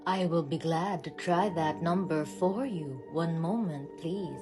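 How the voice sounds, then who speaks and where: A woman's voice: low voice, a little slow, over music. A young woman playing a polite, impassive telephone operator in a radio play, speaking in formal set phrases. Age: young adult.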